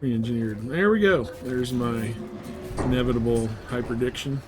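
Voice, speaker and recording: A man's voice: medium pitch, moderate pace, music in the background. A man live streaming a game, chatting casually and off the cuff in rambling, jargon-filled talk. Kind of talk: casual gaming stream chat.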